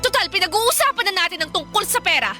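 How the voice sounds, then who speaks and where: An actress playing an angry, sarcastic, scolding wife with a Filipino accent in a radio drama. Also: female voice, extremely high pitch, big pitch swings, fast talker, over music. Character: Carla, angry quarrelsome wife with a gambling habit.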